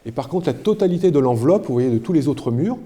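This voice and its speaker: A man giving a spontaneous guided explanation as an architect, halting and often repeating words as he goes. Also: on the high side, expressive, mellow, husky, no room sound. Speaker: architect presenting a building project.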